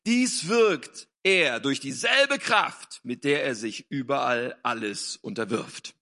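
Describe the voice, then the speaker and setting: A man's voice: medium pitch, animated, bright, forceful tone. A man reading scripture and interpreting a sermon phrase by phrase in an earnest manner. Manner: quick, earnest, restating phrases.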